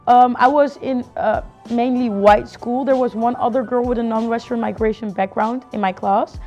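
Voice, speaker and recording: A woman's voice: a little high, fairly even intonation, normal speed, a little mellow, soft background music. A woman of twenty-one, telling her personal story in a reflective, conversational way. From Netherlands.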